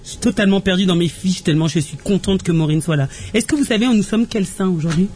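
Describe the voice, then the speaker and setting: A woman hosting a live radio show as lively chat, teasing and playful, rambling as she goes. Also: on the low side, fast, slight background noise.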